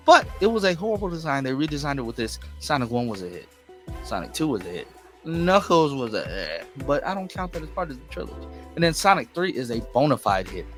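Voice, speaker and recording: A man's voice: medium pitch, fairly bright tone. A man hosting an informal, unscripted movie review, speaking enthusiastically and emphatically and repeating phrases to stress his points. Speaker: movie reviewer and channel host. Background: background music.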